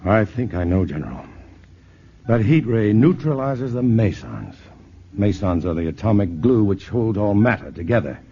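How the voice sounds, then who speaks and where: A steady scientist hero in a 1950s radio play, explaining things plainly in a lowish voice that rises and falls a lot. The old broadcast sounds dull and muffled, with faint music underneath. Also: male voice, moderate pace, a little hiss or hum. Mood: tense. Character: calm, knowing male scientist hero. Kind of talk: 1950s radio drama acting.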